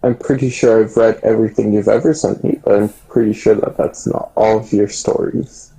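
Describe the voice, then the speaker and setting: Man, low mellow voice, casual podcast co-host, thoughtful and hesitant phrasing. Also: steady tone, moderate pace, gravelly, rough recording. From Canada. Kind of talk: casual chat.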